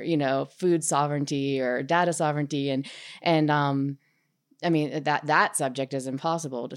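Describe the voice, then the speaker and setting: A woman filmmaker with an extremely low-pitched voice. She speaks as an interview guest in an analytical but conversational way, pausing to find precise words.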